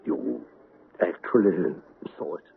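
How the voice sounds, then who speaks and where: A grave, loyal manservant in an old radio mystery, formal and deferential. His slightly rough voice swings expressively in pitch, heard through a tinny narrow band. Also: expressive, takes it slowly, full character voice. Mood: wary, formal. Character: formal, discreet male servant, grave and loyal. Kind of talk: 1970s radio drama acting.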